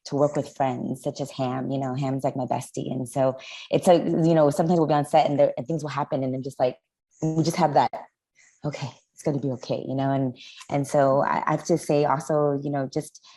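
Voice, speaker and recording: Chatty low-voiced woman producer. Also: brisk. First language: Vietnamese. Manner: chatty, animated, full of fillers. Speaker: film producer. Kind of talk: casual interview talk.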